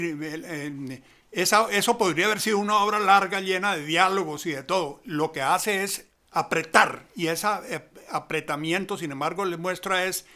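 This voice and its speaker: Bright, high-voiced man explaining. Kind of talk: conversational analysis in a discussion.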